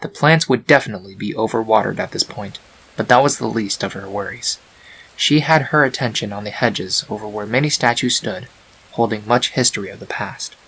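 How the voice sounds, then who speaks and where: A man narrating a scripted fan-fiction story in a measured, dramatic storytelling manner. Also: lowish voice, a bit bright, poor audio. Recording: faint hiss on home recording.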